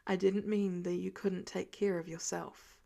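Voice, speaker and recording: A woman voicing a gentle, worried adult male vigilante and protective mentor in an audio story, soft and low-key. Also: level tone, a little slow, gravelly. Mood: apologetic, concerned.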